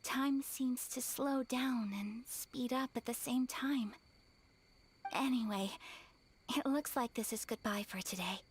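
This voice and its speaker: A young woman playing a gentle, sincere noblewoman in an anime fantasy game, speaking softly and in a measured, heartfelt way. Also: a little high, slight background noise. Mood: soft, fond. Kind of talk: scripted video game character dialogue.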